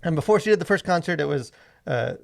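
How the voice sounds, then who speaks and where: A man hosting a casual podcast discussion, talking in a halting, rambling way full of fillers. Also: on the high side, lively intonation, creaky. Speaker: podcast host.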